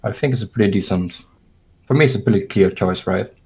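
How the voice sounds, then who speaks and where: A man's voice: lowish voice, fairly quick, a little mellow, breathy edge. A young German-born man raised in Sweden, a fitness coach chatting casually, hesitant and restarting his sentences, with a German and Swedish accent. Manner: hesitant, restarting thoughts, talks it through. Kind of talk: casual podcast chat.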